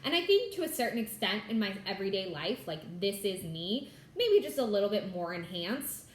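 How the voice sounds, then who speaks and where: A woman's voice: medium pitch, very animated, moderate pace, slight background noise. Bubbly chatty woman in an echoey room. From California. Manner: bubbly, chatty, says "like" and "y'all" a lot. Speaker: Flosstube host. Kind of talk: casual chat to camera.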